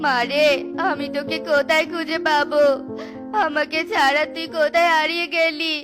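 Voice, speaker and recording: A woman's voice: high-pitched, flat delivery, normal speed, music in the background, pitched below the performer's own voice, natural voice. A woman voicing a grieving, crying cartoon mother searching for her lost daughter.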